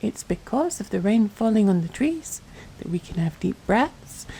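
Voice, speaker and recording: A woman playing a tender, doting mother in a soft-spoken ASMR bedtime roleplay, gentle, soothing and affectionate, often dropping to a whisper. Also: medium pitch, sing-song, normal speed, vocal fry, slightly rough, exaggerated voice. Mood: tender, playful. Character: tender, doting mother soothing her little girl to sleep.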